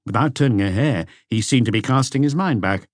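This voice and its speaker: A man's voice: medium pitch, animated, brisk, no echo, studio quality. A man reading a mystery novel aloud as a scripted audiobook narration.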